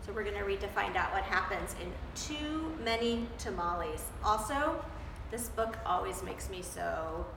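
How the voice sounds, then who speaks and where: A woman reading a children's storybook aloud in an animated, dramatic way, pausing to put questions to her young listeners. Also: mid-range voice, creaky. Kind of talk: expressive children's storybook read-aloud with asides. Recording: roomy echo, background noise.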